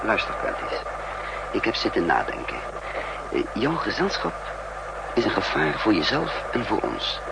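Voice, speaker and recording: An actor playing a resolute, calculating spy leader in a deliberate, commanding 1960s radio drama style. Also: male voice, medium pitch, gravelly. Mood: businesslike. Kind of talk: 1960s Dutch radio drama acting, part narration. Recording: noisy 1960s radio recording.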